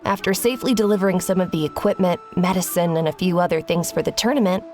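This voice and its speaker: A woman's voice: lowish voice, quick pace, darkish tone, faint music behind, lightly acted. A woman voicing a friendly young female surfer who thanks her rescuers in a game cutscene. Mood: grateful. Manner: grateful, friendly.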